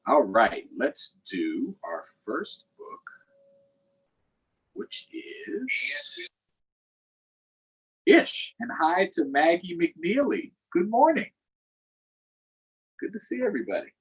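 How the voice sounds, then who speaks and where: A man hosting a storytime for children, reading aloud and chatting directly to young viewers in an expressive way. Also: high voice, takes it slowly, long pauses, slightly rough, low-quality recording. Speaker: storytime host, councilmember. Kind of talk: children's read-aloud and live chat.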